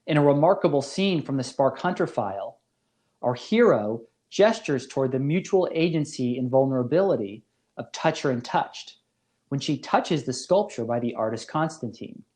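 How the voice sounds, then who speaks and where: A man giving a scripted academic lecture as a philosophy professor, in a measured, formal manner. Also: mid-range voice, lively intonation, a little mellow.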